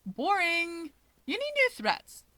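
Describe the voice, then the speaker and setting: A woman's voice: very high voice, wildly swooping pitch, takes it slowly, pushed and bright, no echo, voice pushed up, exaggerated voice. A woman voicing a playful, theatrical young man in a story reading, teasing and melodramatic. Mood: mock wounded. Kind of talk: expressive fan fiction character reading.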